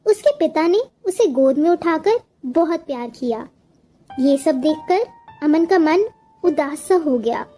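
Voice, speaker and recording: A woman reads a scripted story as narrator. Her voice is very high and mellow, and she takes her time over each phrase. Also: animated, unhurried, faint music behind.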